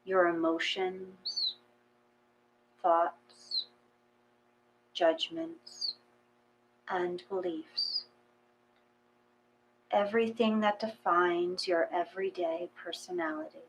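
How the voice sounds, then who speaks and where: A woman reading a gentle guided meditation in a calm, measured manner, with long pauses between short phrases. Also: on the low side, slight background noise, rough recording.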